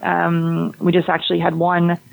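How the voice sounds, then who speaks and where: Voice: mid-range voice, completely flat delivery, unhurried, vocal fry, rough recording. A fertility health practitioner explaining testing and diet in a conversational interview, often restarting and repeating words.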